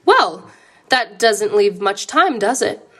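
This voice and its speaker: An actress playing a polished, probing broadcast interviewer in an audio drama, polite and professional. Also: female voice, medium pitch, big pitch swings, takes it slowly, fairly bright tone, full character voice.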